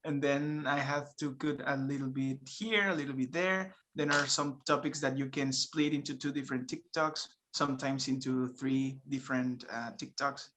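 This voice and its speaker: A man whose first language is Spanish, co-hosting a casual school podcast and talking in halting English with many fillers and restarts. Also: mid-range voice, fairly even intonation, fairly bright tone. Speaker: podcast co-host, school staff. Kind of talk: casual chat.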